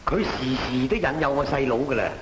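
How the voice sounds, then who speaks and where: An actor playing a meddling elder brother in an old Cantonese opera film, with a Cantonese accent. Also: male voice, medium pitch, fairly quick, lightly acted. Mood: accusing, grumbling. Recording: noisy old film soundtrack.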